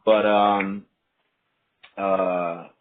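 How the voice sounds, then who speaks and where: A man with a slightly low voice moderates a group call over a narrow telephone line. He explains things politely and casually, stopping and restarting as he goes, with faint noise behind him. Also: little pitch movement, very slow. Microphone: telephone conference line. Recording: muffled phone line with clear noise, faint.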